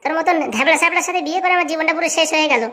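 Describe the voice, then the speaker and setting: Casting: woman as quarrelsome young cartoon wife, very high voice, rapid combative scolding, rural Bengali accent. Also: steady tone, pushed and bright. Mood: angry, sulky. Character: sharp-tongued, quarrelsome young village wife. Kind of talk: comic cartoon dialogue in village dialect.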